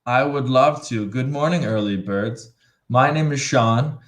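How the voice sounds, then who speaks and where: Jokey man from Buffalo, unhurried. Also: mid-range voice. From Buffalo, New York. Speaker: co-host. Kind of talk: casual live-stream chat.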